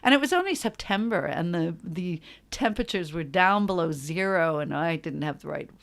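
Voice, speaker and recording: A middle-aged woman speaks as a guest in a relaxed interview. She tells stories in an animated way, swearing freely, breaking off and restarting her sentences, and laughing as she goes. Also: lowish voice, normal speed, vocal fry. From New York. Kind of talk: casual interview chat.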